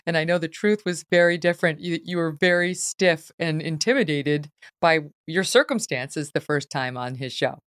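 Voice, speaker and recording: A woman hosting an interview, prompting her guest with brisk questions. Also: low voice, no room sound, clean studio sound. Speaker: host.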